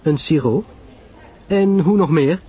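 A man playing an affable, modest English gentleman in an audio drama, with a light, breezy, sociable manner. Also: on the high side, slow pace, husky, lo-fi sound, voice pushed up, heavily acted. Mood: breezy, amiable.

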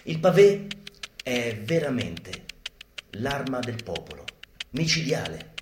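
A man performing a theatrical spoken-word monologue in a deliberate, dramatic manner, moving between declaiming and hushed delivery. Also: mid-range voice, very animated, a little hiss or hum. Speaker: stage actor and monologue performer. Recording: roomy live sound with music behind. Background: live instrumental music.

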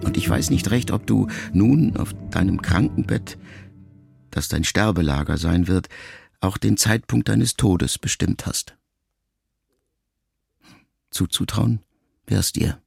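Deep gravelly male audiobook narrator. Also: lively intonation, slow, long pauses, darkish tone, faint music behind. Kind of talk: literary audiobook reading.

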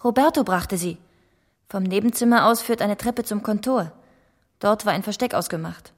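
An actress playing an earnest, guarded young gentlewoman in a German radio play, speaking formal, measured period language. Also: medium pitch, natural voice. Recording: long hall reverb.

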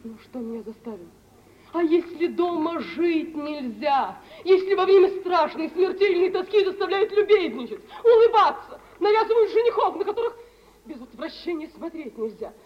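Very high-voiced wounded young woman. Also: lively intonation, moderate pace. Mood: anguished. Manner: emotional, theatrical. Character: wounded, proud young woman, forsaken and desperate. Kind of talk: 1950s Russian radio theatre acting. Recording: muffled, noisy 1950s radio play recording.

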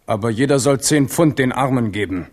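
A man's voice: mid-range voice, a little fast, close to an everyday voice. An actor playing a spirited, mocking yet merciful young king in a German radio play, theatrical and commanding. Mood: resolute.

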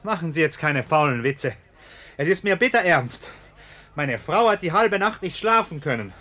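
A blustering middle-aged householder in a radio play. His voice sits on the high side and leaps about with animation. He is pompous and indignant, yet flusters easily and repeats himself. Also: animated, moderate pace, pushed and bright, reverberant. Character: blustering, indignant, cowardly bourgeois householder and husband. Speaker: actor. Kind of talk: German radio play acting.

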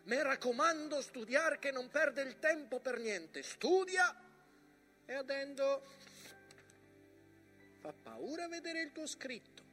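A man's voice: very high-pitched, sing-song, slow, pauses often, bright, forceful tone, faint music behind, pitched above the performer's own voice, heavily acted. An actor playing a stern, anxious father admonishing his son in a letter, in emphatic, broken dialect-tinged Italian on stage. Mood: scolding, urgent. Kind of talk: theatrical letter monologue.

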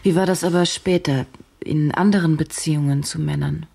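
Brooding woman reporter in a radio drama, low dark voice, intense and halting. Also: fairly even intonation, full character voice. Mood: shaken, probing. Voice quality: low, husky. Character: brooding, driven woman journalist, troubled by her story. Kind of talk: German radio play, intimate monologue and interview.

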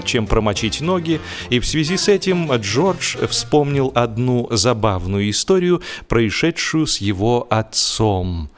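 A man reading a humorous audiobook in an expressive, measured style with theatrical pauses. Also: medium pitch. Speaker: audiobook narrator. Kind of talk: expressive humorous scripted narration.

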